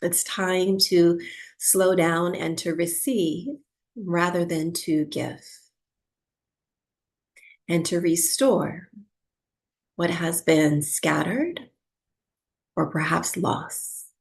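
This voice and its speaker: A woman teaching breathwork and guiding a meditation for sleep, speaking softly in a soothing, unhurried way with long pauses. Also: fairly low pitch, gravelly.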